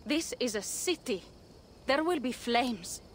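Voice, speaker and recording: A woman's voice: on the high side, animated, slow pace, a little hiss or hum. An actress playing a wary, plain-spoken young Viking warrior woman in a video game, direct and earnest. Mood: worried. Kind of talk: dramatic video game cutscene acting.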